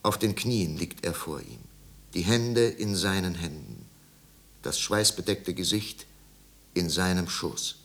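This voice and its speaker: A man's voice: low voice, expressive, slow pace, vocal fry, fairly bright tone, heavily acted. A man narrating a literary tragedy in a 1950s radio play, grave and intense, with careful articulation. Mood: somber.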